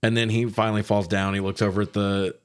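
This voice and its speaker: A man's voice: on the low side, level tone, fast talker, clean tone. A man co-hosting a casual movie recap chat, slangy and sweary, acting out quoted lines as he tells the story. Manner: slangy, profane. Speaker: podcast co-host.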